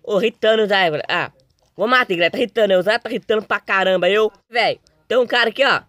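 A young man with a Brazilian accent and a slightly hoarse voice, doing casual, slangy gameplay commentary as a video host. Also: high voice, fast, pushed and bright, no echo. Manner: informal, slangy.

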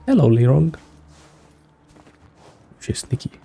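A man's voice: medium pitch, big pitch swings, slow, creaky, dark tone, breathy edge. A man in his thirties giving casual, joking and sweary live reactions while streaming a game. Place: small indoor streaming room. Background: game music.

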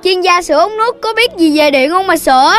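Casting: timid cartoon animal kid, extremely high, anxious childlike voice, heavy music behind. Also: lively intonation, a little fast. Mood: alarmed. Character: timid, fretful young animal child, easily scared. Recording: loud music under the voice.

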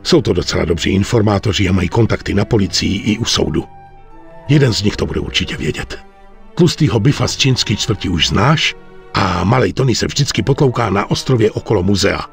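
A man playing a stern aging mafia boss in a video game, deliberate and commanding. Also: lowish voice, expressive, vocal fry, faint music behind. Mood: grave, commanding. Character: stern aging male mafia boss giving grave orders. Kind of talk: dubbed video game cutscene acting.

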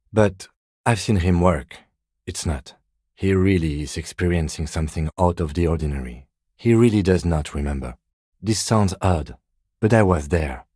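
A man playing a composed, dutiful police lieutenant in a video game, speaking in a measured, precise, formal and understated way. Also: low-pitched, mellow, husky, dry recording, clean studio sound. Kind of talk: scripted game dialogue.

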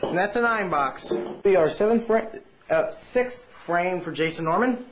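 A man calling play-by-play at a home bowling game in a basement, excitable and quick to shout reactions. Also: high-pitched. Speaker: home bowler and co-commentator. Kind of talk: casual play-by-play. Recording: noisy 2000s home camcorder audio.

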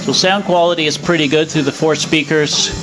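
A man explaining a demonstration in a measured, matter-of-fact way, with a radio playing. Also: a little high, steady tone. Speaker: presenter demonstrating a vintage radio. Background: radio playing music and stations.

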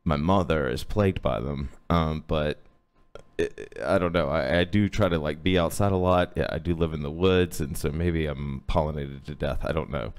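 A man in his thirties teaching a live-streamed hoop dance class from his home, sick with a lowered voice, rambling with many fillers. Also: moderate pace, clear, resonant tone. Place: home room indoors. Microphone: webcam at a few feet.

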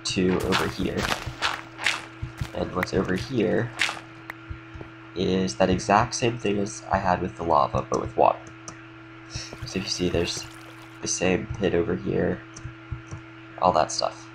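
A young man with a slight cold, casually explaining a game build in a relaxed, rambling way with lots of fillers. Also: sits low, a little slow, vocal fry, long pauses, over music, low-quality recording. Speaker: game tutorial creator. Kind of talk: casual gameplay tutorial commentary.

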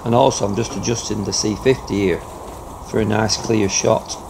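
A man's voice: steady tone, moderate pace, gravelly. A middle-aged man, chatting casually to the camera about airgun pest control from inside a farm building. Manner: low-key, chatty, fillers like "you know". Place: farm building loft, indoors. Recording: noisy outdoor-farm ambience.

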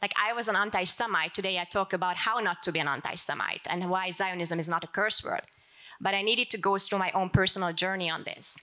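A woman's voice: fairly low pitch, fairly quick, bright, forceful tone. A woman from Hungary with a Hungarian accent, asking a long, earnest question from the audience at a panel event.

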